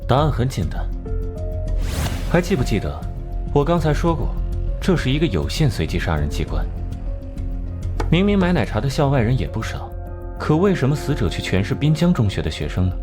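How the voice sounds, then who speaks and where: An actor playing a cold, arrogant young male genius detective in an animated crime drama, lecturing with sardonic condescension. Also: mid-range voice, a little fast, pauses often, close to an everyday voice. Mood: triumphant, explanatory. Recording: music under the voice.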